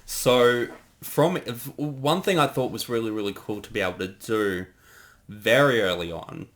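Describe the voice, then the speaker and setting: Man, casual commentary, lowish voice, explanatory, thinking aloud. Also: a little slow, fairly bright tone. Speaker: co-creator giving commentary.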